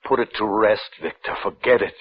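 An actor playing a troubled minister, grave, fearful and morally firm, pleading haltingly in old-time radio drama style. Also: male voice, fairly low pitch, lightly acted. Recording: muffled, noisy old radio recording.

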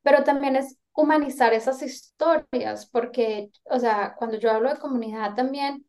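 This is a Colombian woman in her thirties with a fairly high voice, chatting as an interview guest. She thinks aloud as she goes, earnest and a little rambling as she feels her way through her points. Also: normal speed, a little mellow. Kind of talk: casual interview chat.

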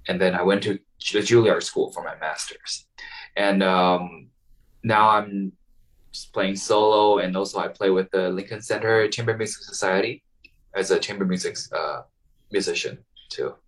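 Hesitant young man, somewhat low. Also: steady tone, normal speed, far from the mic, poor audio. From Taiwan. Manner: hesitant, many ums and restarts. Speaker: violinist guest. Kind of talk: casual interview answers.

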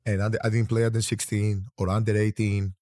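Give me a relaxed Greek man in his thirties with a fairly low voice, chatting loosely as a host. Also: brisk, dry recording, clean studio sound. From Greece. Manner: relaxed, rambling, restarts often. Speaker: podcast host. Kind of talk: casual interview chat.

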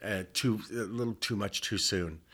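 This is a man in his sixties, reminiscing as a guest in an unhurried interview. His voice sits somewhat low, and he rambles, wandering off the subject and then circling back. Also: fairly bright tone, slightly rough. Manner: rambling, digressive storyteller.

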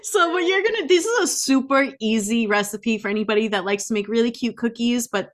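A woman's voice: a little high, animated, fairly quick. A woman co-hosting a podcast in casual comic banter, giggly and playful with an exaggerated delivery. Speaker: podcast co-host.

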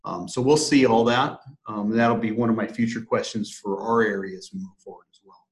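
A man's voice: on the low side, a little fast, rough recording. A man, a sales director, explaining plans in an interview, hesitant with many fillers. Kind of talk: conversational business interview.

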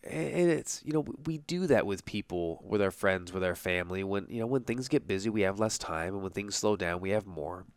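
A man in his thirties with a stuffy nose speaks in a low, smooth, resonant voice. He tells a personal story slowly, halting and restarting his sentences. The sound is dry and close to the mic. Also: animated, dry recording. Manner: halting, many restarts and fillers. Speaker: podcast host.

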